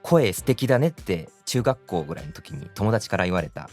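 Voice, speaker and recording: Male podcast guest, fast talker, animated intonation, courteous and deferential. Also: sits low, breathy edge, faint music behind. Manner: polite, deferential speech. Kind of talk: casual chat, answering the host.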